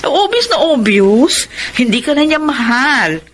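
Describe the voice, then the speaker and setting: An actress playing a blunt, protective older sister in a Filipino radio drama, exasperated and frank, with a Filipino accent. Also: female voice, sits high, big pitch swings, unhurried, full character voice.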